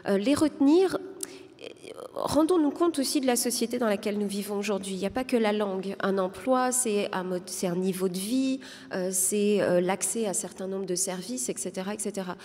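A woman in her thirties making her case as a panelist in a televised debate, speaking into a desk microphone, articulate but pausing often to find her words. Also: medium pitch, darkish tone. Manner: articulate, persuasive, frequent hesitations. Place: large studio hall with audience. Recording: long reverb of a large hall.

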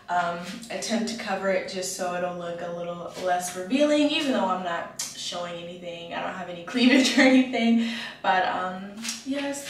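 A woman's voice: mid-range voice, slightly rough. A young woman talking casually to the camera on a solo trip. She is chatty and enthusiastic, with a lot of ums and likes. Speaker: travel vlogger. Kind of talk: casual travel vlog to camera. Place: indoors in a hotel room and a large mall, phone held close. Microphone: handheld camera at arm's length.

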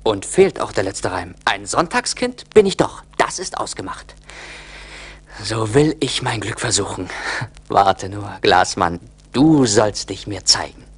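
An actor playing a poor, discontented young charcoal burner in a 1980s radio play, musing theatrically in envy and longing. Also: male voice, lowish voice, animated, unhurried, breathy edge. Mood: determined. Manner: theatrical, brooding monologue.

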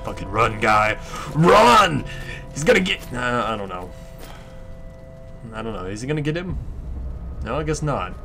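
A man's voice: mid-range voice, sing-song, unhurried, gravelly, pauses often, music in the background. Casual, rambling male let's-player. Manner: rambling, sweary. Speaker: let's play host. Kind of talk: casual gameplay commentary.

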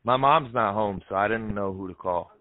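A young man making a prank phone call in a deadpan, hesitant, rambling way. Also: fairly low pitch, normal speed, no echo. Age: young adult. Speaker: prank caller and show host. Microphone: headset microphone. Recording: dull, band-limited call audio.

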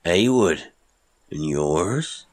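A man's voice: deep voice, lively intonation, drawn-out words, a little mellow, exaggerated voice. A man doing a terse, surly old-world castaway. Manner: terse, surly, archaic phrasing. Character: small surly man long marooned, old-fashioned speech.

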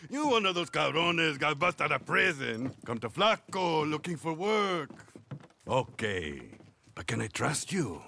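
A gravelly outlaw. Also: male voice, on the high side, sing-song, takes it slowly, pauses often, pushed and bright, a little hiss or hum, full character voice. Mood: taunting. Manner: taunting, suspicious, mixes in Spanish words. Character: swaggering older male Mexican outlaw.

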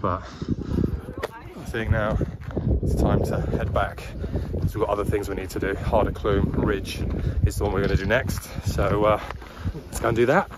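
Casual male vlogger, thirties, lowish voice, loud wind and road noise behind. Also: steady tone, normal speed. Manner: chatty, enthusiastic, many fillers and restarts. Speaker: travel and car vlogger. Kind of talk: casual vlog narration. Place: outdoors by lakes and in a car. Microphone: handheld camera at arm's length. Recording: loud outdoor and car noise.

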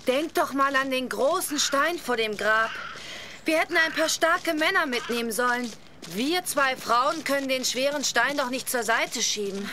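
A woman's voice: high voice, moderate pace, lightly acted. An actress playing an anxious, easily frightened biblical woman in a religious audio drama, fretful and wondering. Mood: worried. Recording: clear ambient noise behind.

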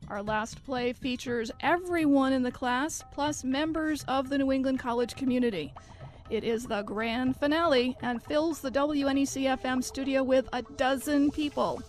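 High-pitched woman radio announcer. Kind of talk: scripted announcing of credits.

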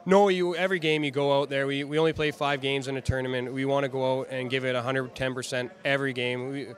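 A young man, a hockey player, answering post-game interview questions quickly and with many restarts, into handheld reporters' mics at an indoor media backdrop. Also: mid-range voice. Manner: fast, even, with many restarts. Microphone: handheld reporters' mics, close.

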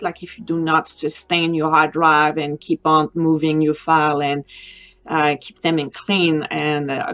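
A woman's voice: lowish voice, a bit bright. A woman in her thirties or forties with a French accent, a native French speaker, talking informally and earnestly to camera as a wedding photographer giving advice. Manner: conversational, earnest, non-native English phrasing. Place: small home office, indoors.

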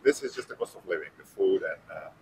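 A man in his thirties with a low voice, thinking aloud and correcting himself as he answers. His voice sounds somewhat distant from the mic. Clear street noise is heard behind him. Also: steady tone, fast talker, a little mellow. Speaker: interviewee. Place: outdoor city street. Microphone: handheld mic, a little away from him.

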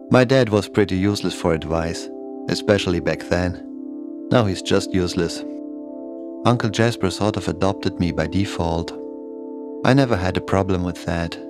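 A man narrating a scripted first-person horror story as a podcast host. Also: deep voice.

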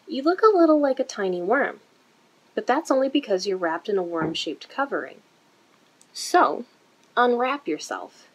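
A woman reading a children's picture book aloud in an expressive, deliberate way for young listeners, recorded indoors. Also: on the high side, breathy edge, rough recording. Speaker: children's story-time reader for a museum. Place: indoor room.

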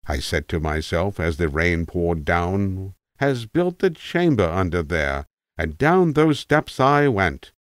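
A man's voice: low-pitched, sing-song, takes it slowly, dry recording, studio quality, exaggerated voice. A man voicing an eccentric, opinionated old tramp telling a rambling tale in an emphatic, self-assured way, as an audiobook character. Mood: reminiscing, animated. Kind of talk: acted audiobook character monologue.

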